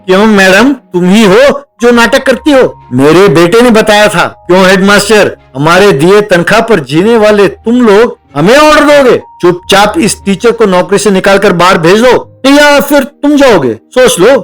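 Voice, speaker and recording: A man's voice: sits high, animated, a little slow, hardly any pauses, low-quality recording. A man voicing a pompous, domineering village headman in a comedy cartoon story. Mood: menacing. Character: pompous bullying village headman, rich indulgent father.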